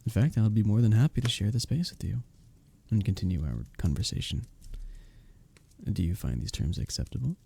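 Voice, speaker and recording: Man playing a dark-voiced, flirty medieval innkeeper. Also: fairly low pitch, big pitch swings, fairly quick, exaggerated voice. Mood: gentle, courteous. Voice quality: dark, husky, gravelly. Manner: formal old-fashioned diction, teasing, gently courteous. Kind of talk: romantic ASMR roleplay, scripted character acting.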